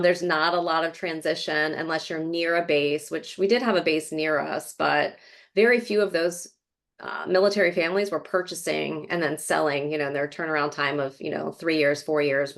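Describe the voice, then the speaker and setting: Chatty woman, low voice, storytelling. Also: steady tone, normal speed, gravelly, fairly bright tone. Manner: chatty, fillers, rambling storytelling. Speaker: real estate agent, guest. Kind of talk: casual interview conversation. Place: Florida.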